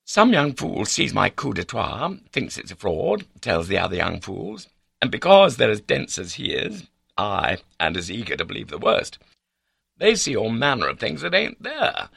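A man's voice: fairly low pitch, very animated, brisk, creaky, sharp tone, breathy edge, no echo, clean studio sound, pitched above the performer's own voice, full character voice. A man voicing an arrogant, indignant upper-class baronet in an audiobook, with a blustering, sneering and haughty manner. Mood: angry, exasperated. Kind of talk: audiobook character dialogue.

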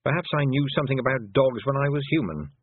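A talking toad, voiced by a man reading aloud that sounds dry and lawyerly, with every point made matter-of-factly. The voice is husky and dry, and it comes through a tinny phone-like sound. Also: medium pitch, animated, fast, no room sound, voice pushed up.

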